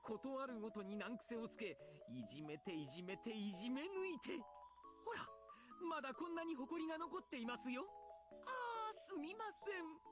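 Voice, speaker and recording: A man's voice: very high-pitched, sing-song, fast, over music. A man voicing a courteous adult coach in a Japanese visual novel, lecturing theatrically about his gleefully perverted fantasies. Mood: lurid, excited.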